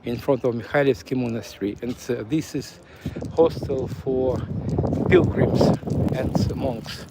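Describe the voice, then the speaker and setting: A man's voice: mid-range voice, measured pace, vocal fry. A man from eastern Ukraine with a Ukrainian accent, whose first language was Russian, giving a walking tour stream with many fillers and restarts. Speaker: city walk streamer. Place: city streets outdoors. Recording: faint street noise outdoors. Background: faint street and traffic noise.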